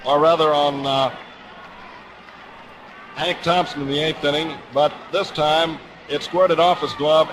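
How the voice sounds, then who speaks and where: A man's voice: a little high, background noise. A man calling baseball play-by-play in a dramatic, florid 1950s radio style, on an old broadcast recording. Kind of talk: 1950s radio sports commentary. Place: outdoor ballpark broadcast position.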